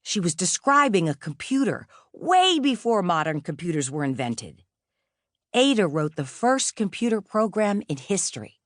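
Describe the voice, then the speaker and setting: A woman narrates a storybook in a low voice. Her delivery is measured and expressive, with pitch that moves freely up and down. The sound is dry and close, like a studio audiobook. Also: dry recording.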